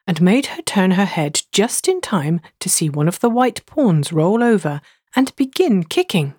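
Woman reading a kids story, mid pitch, really lively, bit breathy. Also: no room sound, studio quality. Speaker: audiobook reader. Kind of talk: scripted children's story narration.

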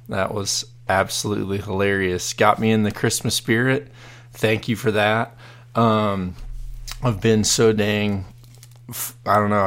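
Hoarse man hosting a casual podcast. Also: lowish voice, fairly bright tone. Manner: rambling, many fillers and restarts. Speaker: podcast host. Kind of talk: casual live podcast chat.

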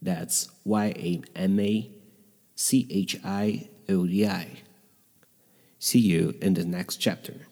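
A low-voiced man with a husky edge reads his podcast script in a reflective, measured way. He speaks English as a second language, his first being Portuguese. The recording carries a long, trailing reverb. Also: dark tone. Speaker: podcast host. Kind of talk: scripted narration. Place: bedroom in a noisy neighborhood.

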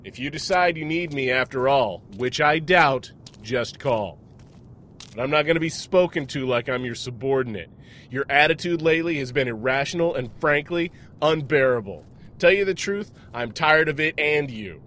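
A man's voice: medium pitch, lively intonation, normal speed, a bit bright, a little hiss or hum, natural voice. A man playing a wounded, exasperated vampire-slaying partner in a radio drama, sarcastic and bitter.

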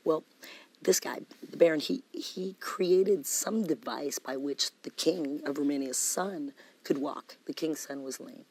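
Woman, husky voice, casual storytelling, animated, laughs a lot. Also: low voice, measured pace, no room sound, low-quality recording. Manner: animated, laughing, says "man" often. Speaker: guest musician.

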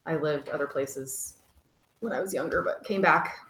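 A woman from Kansas, a nonprofit director, talking in a relaxed way with many pauses and ums. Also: sits low, roomy sound, a little hiss or hum, poor audio. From Kansas, USA. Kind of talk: casual interview.

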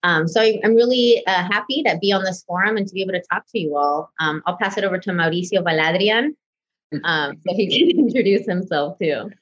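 A woman's voice: lowish voice, a little fast, lo-fi sound. A woman in her late twenties, born in Mexico and raised in the US, chatting as a guest in a friendly way with many fillers. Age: 20s. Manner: friendly, filler-heavy, uses "right?". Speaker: guest consultant. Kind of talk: casual panel conversation.

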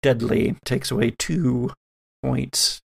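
Male game master, slow delivery, creaky voice, dramatic halting phrasing, tabletop horror narration. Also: medium pitch.

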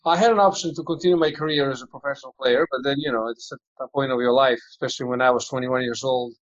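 Halting man on a muffled call. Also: medium pitch, steady tone. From Montenegro. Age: 40s. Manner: halting, many fillers and restarts. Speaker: basketball club figure, former player. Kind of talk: remote interview.

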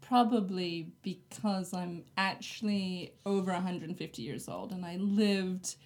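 A woman's voice: lowish voice, measured pace, darkish tone. Young woman, podcast guest, easygoing, plays along, laughs often. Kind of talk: casual podcast chat.

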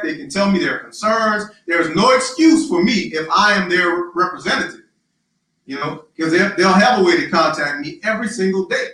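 A man running for city council, answering interview questions in a loud, emphatic, rallying way with lots of repetition. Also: high-pitched. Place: live-streamed social media forum. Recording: very echoey, distant mic.

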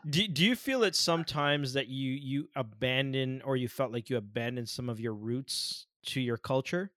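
Brief: male interviewer, mid-pitched, measured and probing, dry close sound. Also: a bit bright, no room sound. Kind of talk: interview questions.

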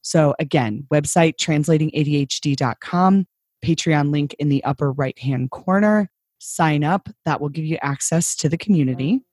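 A woman co-hosting a podcast in casual chat, hoarse this week, talking in a lively storytelling way. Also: sits low, moderate pace, dry recording. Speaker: podcast co-host.

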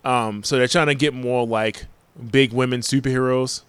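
A man's voice: medium pitch, steady tone, moderate pace, a bit bright, clear, resonant tone, no room sound. A man in his forties hosting a casual podcast chat, rambling through news items with lots of hesitant ums. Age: 40s. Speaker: podcast host.

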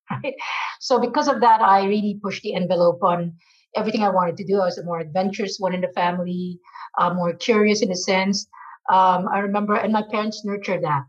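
Earnest middle-aged woman, slightly muffled. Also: mid-range voice. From Philippines. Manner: earnest, emphatic, with many fillers. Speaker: guest, marketing executive. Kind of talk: reflective interview answers.

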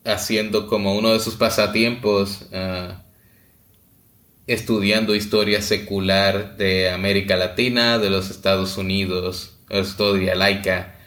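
A man co-hosting a podcast, talking casually with many pauses, fillers and restarts. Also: deep voice, little pitch movement, a bit bright, roomy sound. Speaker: podcast co-host.

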